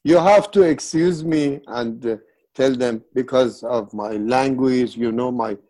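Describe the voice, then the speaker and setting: A man's voice: mid-range voice, measured pace, dark tone. A man from Iran with a Persian accent, Farsi his first language, telling stories as an interview guest in earnest, broken English. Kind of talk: casual interview.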